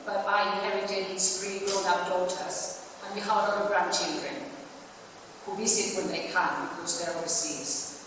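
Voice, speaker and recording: An older woman from Spain with a Spanish accent, giving an earnest campaign speech at a public meeting, with frequent restarts and hesitations. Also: medium pitch. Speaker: political candidate. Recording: echoey hall, distant mic, background noise.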